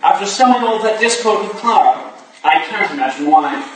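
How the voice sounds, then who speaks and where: Distant and echoing, with clear noise behind, an actor plays a courteous Victorian gentleman. His voice is high for a man, his delivery dry and wry, as in a stage drama. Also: expressive, moderate pace. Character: wry, polite Victorian gentleman, exasperated brother. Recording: echoey hall from far off, clearly noisy.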